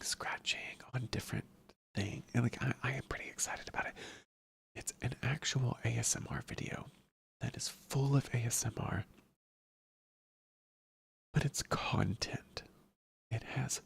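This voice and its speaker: ASMR host for casual chat: heavily fried, very husky, hushed delivery, rambling and repetitive, close to the mic. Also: mid-range voice. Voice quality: breathy whisper, slipping into soft-spoken murmur. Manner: hushed, rambling, repeats phrases. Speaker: ASMR streamer chatting with viewers.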